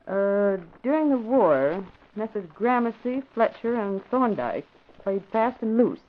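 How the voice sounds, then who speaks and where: An actress playing a wry, capable newspaper secretary with dry wisecracks in an old-time radio drama. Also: female voice, medium pitch, very animated, slow pace. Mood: wry, reading. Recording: dull, noisy vintage radio recording.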